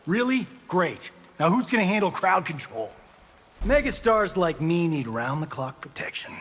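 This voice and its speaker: A vain American movie star, a man who wisecracks through a fighting game. The voice is high and swooping, unhurried and cocky, in a long reverb. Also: sing-song, fairly bright tone, exaggerated voice. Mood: flippant. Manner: cocky, flippant, slangy. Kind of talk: video game character dialogue. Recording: long hall reverb, faint noise.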